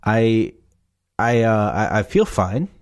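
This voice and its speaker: A man's voice: lowish voice, animated, slow pace. Scratchy-voiced man joking through a movie. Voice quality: scratchy, raspy. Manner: joking, sarcastic. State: has a cough. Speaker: host reviewing a movie. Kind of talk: casual movie watch-along commentary.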